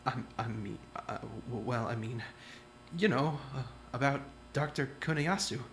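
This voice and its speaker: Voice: medium pitch, unhurried, slight background noise, lightly acted. An actor voicing a jumpy young man who stammers and talks anxiously. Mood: stammering.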